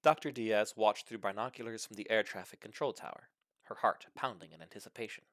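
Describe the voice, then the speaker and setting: A man's voice: on the low side, a little fast, fairly bright tone, dry recording, studio quality. A man reading a funny short story aloud as scripted narration, with theatrical, mock-serious emphasis. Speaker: story reader.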